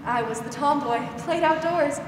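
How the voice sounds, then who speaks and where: Young woman as a high-voiced, kindly brisk aunt. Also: close to an everyday voice. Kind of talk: 1940s radio drama acting. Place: school theater stage. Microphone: stand microphone, masked performer. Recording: very echoey, noisy theater, distant mic.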